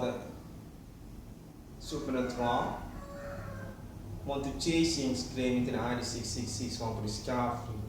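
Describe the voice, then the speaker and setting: Slow-talking Indonesian man in an echoey room. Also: medium pitch. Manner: halting, disjointed phrasing in broken English. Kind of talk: rambling improvised monologue to camera. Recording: very echoey room, distant mic, clear noise.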